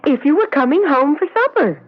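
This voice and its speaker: Nagging housewife in an old radio play, high plaintive voice, lively swings, dull sound. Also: female voice, a little fast. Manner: plaintive, pressing. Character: nagging, fretful housewife, wife of a policeman. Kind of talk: 1970s radio drama acting. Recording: dull 1970s radio recording.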